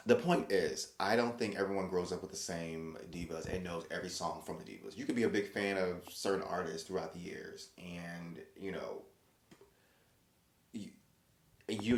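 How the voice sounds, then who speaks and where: A man hosting a solo podcast, talking casually in a rambling, chatty way with many restarts and lively quoted voices. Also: low voice, echoey room. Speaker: podcast host.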